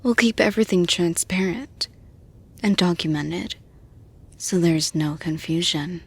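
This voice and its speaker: A composed female boss acted by a woman speaks softly and slowly, straight to the listener. Her voice is breathy and a little low. She sounds measured, supportive and reassuring, as in an intimate roleplay audio. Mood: calm, reassuring.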